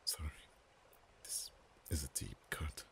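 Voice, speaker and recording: A man voicing a protective male dragon in human form, tender yet teasing, speaking softly and often in a whisper for an ASMR roleplay. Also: slow, slight background noise. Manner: soft, hushed, often whispered.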